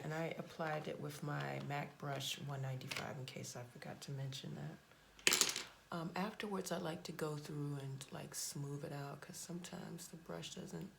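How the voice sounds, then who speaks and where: A hoarse woman talks casually through a makeup tutorial, her voice low and full of gravelly fry. She is blunt and chatty, stopping and restarting as she goes. Faint hiss sits under the recording. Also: steady tone, normal speed. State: hoarse, voice cracking. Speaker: beauty vlogger. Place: home.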